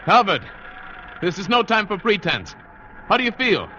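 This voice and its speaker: An intense American sailor hero, widely swinging pitch. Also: male voice, big pitch swings, moderate pace, sharp tone, slight background noise, full character voice. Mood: urgent. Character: adventurous sailor skipper narrating a perilous escape, urgent and protective. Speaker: narrator and lead actor. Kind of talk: 1940s radio drama acting. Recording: muffled vintage radio recording, music behind.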